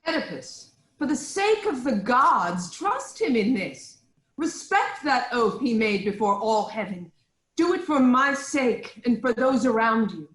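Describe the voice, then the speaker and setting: A woman playing a commanding queen in a staged reading of Greek tragedy, declaiming in measured phrases. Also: a little high, animated, a bit bright, echoey room, rough recording. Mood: pleading. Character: commanding queen of Thebes, scolding yet reassuring mediator.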